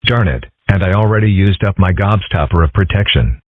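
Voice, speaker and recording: A man voicing a calm, dry-witted ten-year-old boy in a cartoon comic dub, with a husky voice and a mild deadpan manner. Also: low-pitched, brisk, dry recording. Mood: mild, musing. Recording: muffled band-limited audio.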